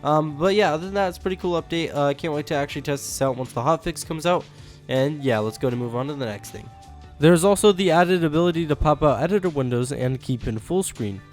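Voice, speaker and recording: A man's voice: a little high, expressive, quick pace, darkish tone. A man hosting a gaming update video, talking in a casual, informal, explanatory way. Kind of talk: casual game update commentary. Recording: music behind the voice. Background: background music.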